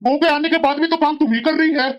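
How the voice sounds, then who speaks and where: A dull, lo-fi recording of a grumbling elderly cartoon husband as a woman acts him. The voice is very high for the part, scolding and reasoning in a sensible, fed-up way. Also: fast, close to an everyday voice. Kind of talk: Hindi family cartoon voice acting.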